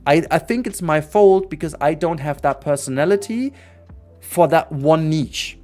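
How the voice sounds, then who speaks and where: A man's voice: a little high, a little slow, darkish tone, soft background music. A young man who is not a native English speaker, talking earnestly and rambling in a casual livestream chat, with many restarts. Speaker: streamer.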